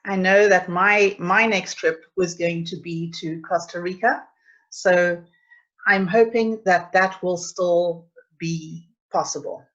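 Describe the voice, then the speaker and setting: Middle-aged South African woman, lowish voice, hosting a webinar, dull call audio. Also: steady tone, slow pace, fairly bright tone. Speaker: travel agency owner hosting a webinar. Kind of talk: presenting a live travel webinar. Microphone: computer mic on a video call.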